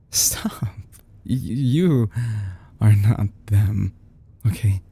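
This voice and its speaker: A man acts out an obsessive, unstable male kidnapper hopelessly in love, for an intimate audio roleplay. The voice is low and slow, with a very soft, dark tone. He stammers and falters, often sinking toward a whisper, over a faint noisy background. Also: animated, heavily acted. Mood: defensive, bitter. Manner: halting, stammering, breathy nervous chuckles, often dropping to whispers.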